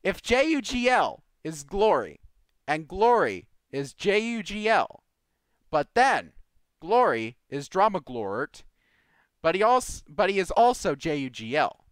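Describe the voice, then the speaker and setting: Lively, clear-voiced man hosting casually. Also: sits high, unhurried, no room sound, studio quality. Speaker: host of a live community Q&A show. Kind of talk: casual live roundtable podcast, reading out questions.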